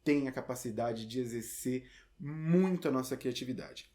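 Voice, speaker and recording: A man with a Brazilian accent and a medium-pitched voice walks the listener through a tutorial. His delivery is informal and chatty, like someone explaining each step directly to a beginner. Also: husky. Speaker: theatre and audio tutorial presenter.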